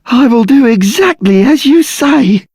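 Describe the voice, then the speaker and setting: An actor playing a lovestruck, pious poor soul, swooning and melodramatic. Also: male voice, very high voice, animated, measured pace, a little mellow, husky, no echo, studio quality, full character voice. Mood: smitten, pleading.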